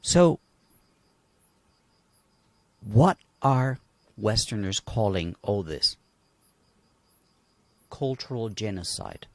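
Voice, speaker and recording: A man's voice: fairly low pitch, expressive, drawn-out words, long pauses, no room sound. A man from Colombia speaking emphatically on a livestream panel, asking rhetorical questions. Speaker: panel guest.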